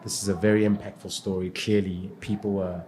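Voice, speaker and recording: A man interviewing conversationally, haltingly repeating words as he forms questions. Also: low voice, little pitch movement, darkish tone. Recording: echoey room, clear background noise.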